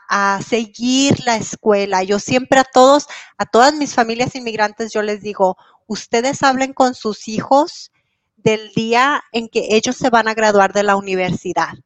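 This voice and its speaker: A woman's voice: on the high side, a bit bright, no echo. A woman guest in an interview, speaking earnestly and encouragingly. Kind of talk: interview answers.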